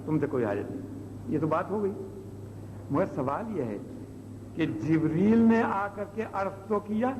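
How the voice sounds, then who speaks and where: Guy giving an islamic sermon, kinda high voice, repeats points, big reverb on an old tape. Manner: explanatory, with rhetorical questions and repetition. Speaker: Islamic preacher. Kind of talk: sermon, explaining religious stories. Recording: old noisy cassette, long hall reverb.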